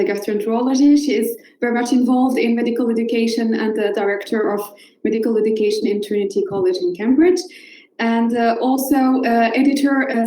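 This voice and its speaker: A woman's voice: a little high, mellow, reverberant, low-quality recording. A woman from Morocco, whose first language is Moroccan Arabic, moderating a live online panel with frequent hesitant fillers over a video call. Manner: hesitant, many filler uhs.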